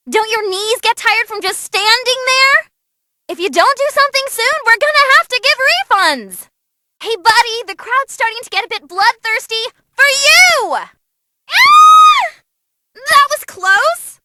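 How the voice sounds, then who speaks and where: A woman's voice: very high voice, bright, forceful tone, a little hiss or hum, full character voice. A woman playing a perky, bloodthirsty, non-human fight announcer in a game-style performance, theatrical and playful. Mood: bored, impatient, then alarmed, indignant. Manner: gleeful, dramatic, playful. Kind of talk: excited ringside fight commentary.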